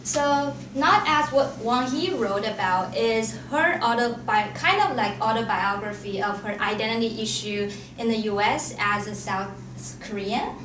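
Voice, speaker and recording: A woman's voice: on the high side, unhurried, hardly any pauses. A young woman giving an informal academic presentation as a student, often restarting and repeating her phrases. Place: indoor room at an academic forum. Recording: echoey, noisy room, distant mic.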